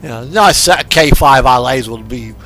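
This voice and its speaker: A man's voice: medium pitch, moderate pace, a bit bright. A man chatting casually and thinking aloud in a rambling way while playing a driving game. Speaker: video game streamer. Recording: music playing behind the voice. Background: radio music and truck engine.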